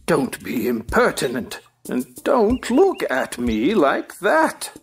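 A fussy, pompous king, acted by a woman in an audio play. The voice is lowish and creaky, with huge swoops and a slow, huffy delivery. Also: wildly swooping pitch, faint music behind, heavily acted. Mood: haughty displeasure.

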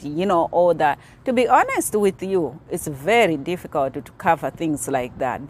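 Lower-voiced Zimbabwean woman vlogging. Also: a little hiss or hum. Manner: earnest, explaining with many fillers. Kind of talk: casual talk to camera. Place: outdoor patio with plants.

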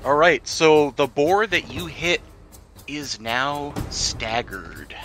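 A man's voice: fairly high pitch, expressive, slow, fairly bright tone. A man running a tabletop game as game master, explaining things in a conversational way. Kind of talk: narrating and refereeing a tabletop game. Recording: music bed under the voice. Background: prominent background music.

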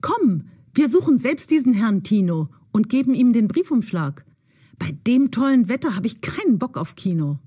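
An actress playing a bold, eager young woman in an audio drama for language learners, brisk, excitable and clearly enunciated. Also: on the high side, no room sound, clean studio sound. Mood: excited.

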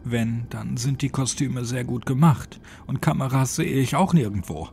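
A man's voice: medium pitch, normal speed, vocal fry, darkish tone, soft background music, pitched above the performer's own voice, natural voice. Man playing uneasy, slangy young guy. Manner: casual, slangy.

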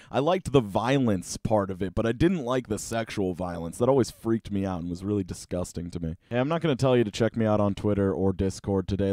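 A man's voice: fairly low pitch, mellow, dry recording. Sarcastic man, casual comedy commentary, dry close sound. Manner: sarcastic, profane. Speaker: YouTube host reading and mocking Reddit posts.

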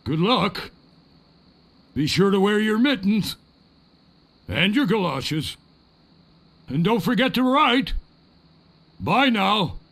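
A man's voice: high-pitched, sing-song, slow pace, pauses often, fairly bright tone, full character voice. A man playing a chatty, evasive old pirate who fusses and gives advice in a video game. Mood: fussing. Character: genial, cowardly old pirate giving advice. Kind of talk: video game character acting.

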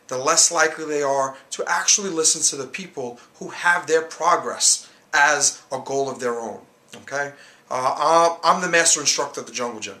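A man's voice: mid-range voice, bright, forceful tone, roomy sound. A man in his thirties with a New York accent, a martial arts instructor coaching beginners straight to camera in an earnest, emphatic way. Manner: earnest, emphatic, gestures with hands. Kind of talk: direct-to-camera coaching talk. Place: small indoor studio, whiteboard behind.

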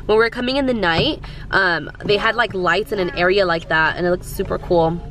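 A woman's voice: fairly low pitch, brisk, a bit bright, low-quality recording. Young woman vlogger, casual on-the-go chatter to viewers, handheld camera. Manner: chatty, filler-heavy, talks to viewers and kids. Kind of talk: casual vlog narration. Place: in a car, then outdoors in a village.

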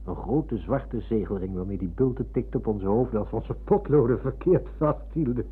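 An actor playing a polite, deferential middle-aged former pupil in a radio play. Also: male voice, medium pitch, animated, moderate pace, breathy edge. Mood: recalling. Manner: courteous, deferential. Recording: muffled phone-like old radio recording.

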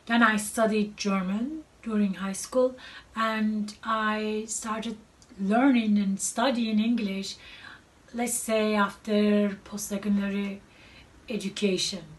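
Slow, halting woman with a Turkish accent. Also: mid-range voice, fairly even intonation. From Turkey. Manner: slow, halting, searching for words. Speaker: artist. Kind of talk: artist's presentation to camera. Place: cluttered art studio. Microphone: far camera. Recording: distant mic, faint room noise.

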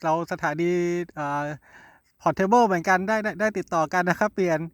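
A man's voice: high voice, normal speed, a bit bright, dry recording, studio quality. A man in a casual amateur radio exchange, polite and hesitant, repeating his words. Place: outdoors in a garden.

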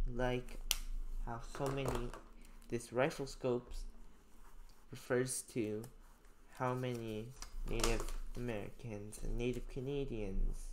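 Slow-talking young man reading aloud. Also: mid-range voice, noisy background. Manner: haltingly stumbles over unfamiliar names. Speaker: student reading a novel aloud and taking notes. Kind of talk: read-aloud with informal note-taking commentary.

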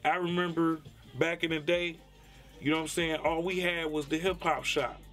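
A man's voice: a little high, little pitch movement, moderate pace, over loud music. A man from Detroit, a rapper, talking bluntly and profanely in a laid-back interview with a Detroit accent. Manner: laid-back, blunt and profane, many "you know what I'm saying". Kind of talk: candid interview talk.

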